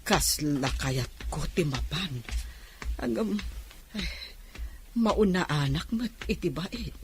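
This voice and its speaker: A woman's voice: low-pitched, lively intonation, slow, slight background noise. A woman acting as an envious, touchy middle-aged aunt in an Ilocano radio drama. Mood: bitter.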